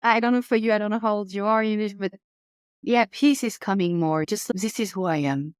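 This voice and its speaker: French woman, late thirties, therapist guest, expressive delivery, smooth clear voice on a dry close mic. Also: normal speed, no room sound. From France. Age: 30s. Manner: expressive, rises high when enthusiastic. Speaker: guest, family constellation therapist. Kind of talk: casual interview chat.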